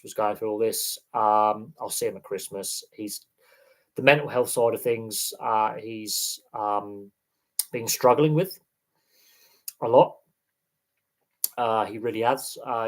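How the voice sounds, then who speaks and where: A man hosting a casual livestream, talking in a rambling, halting way with frequent restarts and a slightly congested, sniffly voice. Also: medium pitch. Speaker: sports channel host.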